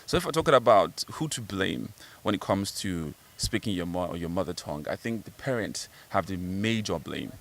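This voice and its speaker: A man's voice: low voice, animated, moderate pace, hardly any pauses, a bit bright, a little hiss or hum. Emphatic man in a street interview. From Nigeria. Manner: emphatic, conversational. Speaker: football scout.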